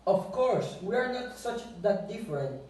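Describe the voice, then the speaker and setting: A man acting an earnest sea creature in an amateur puppet show, with halting, non-native English phrasing. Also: high-pitched, very animated, a little mellow. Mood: earnest, determined. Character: earnest older sea creature, brave spokesman for the sea. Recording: echoey room, distant microphone.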